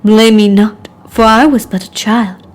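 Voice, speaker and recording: A woman's voice: mid-range voice, steady tone, a little slow, lightly acted. A woman playing a cruel, mocking young aristocratic lady, prim, taunting and theatrical, as a game character. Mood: cold reminiscence. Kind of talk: game character voice acting reel.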